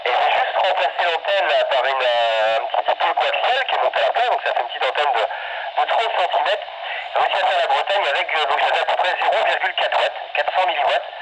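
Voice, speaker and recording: A man's voice: on the high side, expressive, quick pace, fairly bright tone. A man chatting enthusiastically and casually as a radio operator, heard over a two-way radio. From France. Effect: two-way radio transmission. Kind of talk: casual radio contact chat. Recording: noisy walkie-talkie radio reception.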